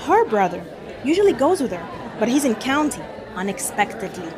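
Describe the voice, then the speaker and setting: A woman's voice: fairly high pitch, sing-song, a little fast, a crowd in the background. A woman acting in an audio drama as a slangy, foul-mouthed undercover cop spinning a street story. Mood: matter-of-fact. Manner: slangy, profane, exasperated storyteller. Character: male undercover cop rehearsing a foul-mouthed pot dealer's anecdote.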